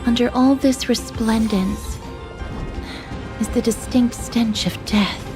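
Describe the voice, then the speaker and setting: Composed observant game companion, formal, softly solemn, lightly high voice, music underneath. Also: female voice, fairly even intonation. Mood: calm, observant. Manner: polite, measured, formal. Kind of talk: video game voice acting.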